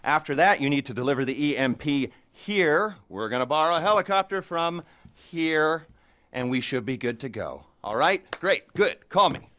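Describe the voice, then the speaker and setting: Game cutscene: wry, sarcastic heist planner, slightly high-voiced man. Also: lively intonation, moderate pace, fairly bright tone. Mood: sardonic. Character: sardonic male heist mastermind, dry-witted schemer. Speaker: heist planner briefing the crew. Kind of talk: video game cutscene dialogue.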